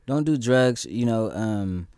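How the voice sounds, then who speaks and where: A man's voice: lowish voice, slow pace, clean tone, dry recording, clean studio sound. A man from the San Francisco Bay Area, a guest musician chatting casually, with lots of ums and restarts. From San Francisco Bay Area, California. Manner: relaxed, many ums and restarts. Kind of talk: casual conversation.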